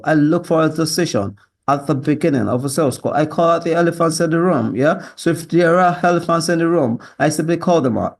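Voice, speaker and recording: Nigerian guy w learned british accent, yoruba native, bit high voice, creaky, pushy sales pitch to camera, says yeah? a lot. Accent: learned British with Nigerian influence. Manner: assertive, repetitive, tags statements with "yeah?" and "right?". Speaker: sales closer, job applicant. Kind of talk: self-presentation monologue to camera.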